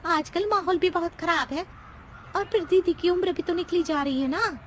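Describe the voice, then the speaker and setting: Woman doing a nervy naggy young woman for a cartoon story, super high squeaky pitch, kinda pleading. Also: moderate pace, a little hiss or hum. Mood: nagging. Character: younger woman in a household, anxious, nagging. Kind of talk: Hindi animated story dialogue.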